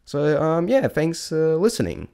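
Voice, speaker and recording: A man's voice: fairly high pitch, sing-song, measured pace, gravelly, dark tone, smooth. A man giving a casual, unscripted spoken review, rambling and thinking aloud in a self-deprecating way. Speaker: amateur vlog reviewer.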